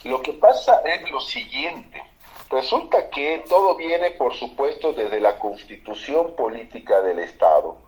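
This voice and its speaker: A man's voice: mid-range voice, very animated, a little slow, darkish tone, low-quality recording. A man from Bolivia, a lawyer, explaining constitutional law emphatically on a political talk show. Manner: emphatic, explanatory, repeats for stress.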